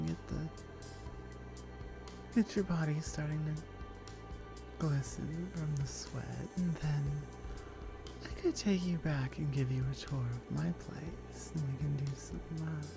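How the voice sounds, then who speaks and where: A woman plays a strong, flirty oni woman who coaxes and encourages her listener in an intimate ASMR roleplay. Her voice sits fairly low and moves slowly, confident and teasing, with music behind it. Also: creaky, exaggerated voice. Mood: seductive, coaxing.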